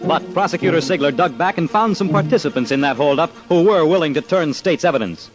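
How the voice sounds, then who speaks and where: A man's voice: on the high side, lively intonation, a little fast, lo-fi sound. A man narrating an old-time radio crime documentary in a dramatic, punchy, emphatic scripted style. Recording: old radio with loud music.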